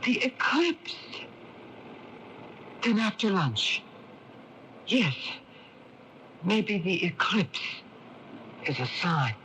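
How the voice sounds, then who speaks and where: An actress playing a treacherous, calculating Egyptian wife in a radio drama, speaking in a guarded, low-keyed way. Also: female voice, big pitch swings, drawn-out words, long pauses, noisy background. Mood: cool, calculating. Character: treacherous Egyptian wife coolly plotting her husband's death. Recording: muffled old AM radio broadcast.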